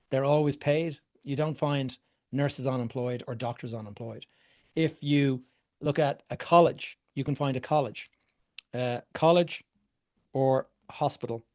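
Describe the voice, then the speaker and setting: Middle-aged man from Ireland, workshop coach, mid-range pitch, conversational and plain. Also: a little mellow. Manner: conversational, repeats words, asks questions and answers them. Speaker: property investment coach. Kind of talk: workshop talk to a live group.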